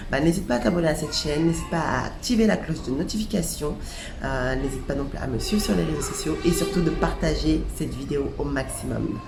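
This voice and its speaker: Vlog host, woman, low gravelly voice, music behind in a roomy space, upbeat. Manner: upbeat, direct address to viewers. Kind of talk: channel intro. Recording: echoey room with music.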